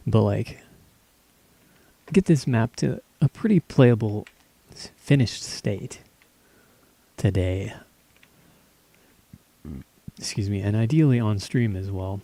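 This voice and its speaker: A man streaming live while he builds a game map, talking casually in halting, stop-start phrases as his voice weakens. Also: lowish voice, warm tone, no room sound.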